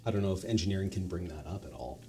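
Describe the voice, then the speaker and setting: A man's voice: low voice, racing, dark tone. A man hosting a stream, chatting casually with the people around him. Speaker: charity stream host. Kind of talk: casual chat.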